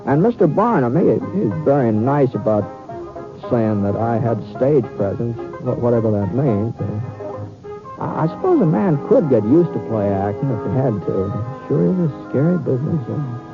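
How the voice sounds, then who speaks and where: A tinny old radio western recording with music behind it carries a modest cowboy gunfighter. He is a man who halts and stammers in embarrassment, his pitch moving up and down in a lively way. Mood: sheepish, musing. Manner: halting, stammering, flustered. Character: reluctant, bashful cowboy gunfighter pushed onto a stage. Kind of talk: old-time radio western acting with narration.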